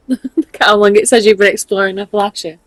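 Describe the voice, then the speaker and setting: A woman's voice: medium pitch, steady tone, fairly quick, no echo. Giggly Mancunian woman chiming in. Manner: giggly, chipping in with short replies. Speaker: co-host.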